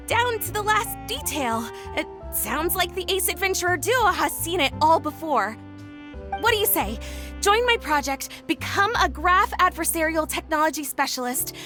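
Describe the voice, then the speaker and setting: Excitable young female researcher, very high voice, sharp bright tone, eager, dramatic, game dialogue over music. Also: heavily acted. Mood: eager pitching. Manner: gushing, theatrical sales pitch. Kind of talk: video game character dialogue. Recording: background music under the voice. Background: game background music.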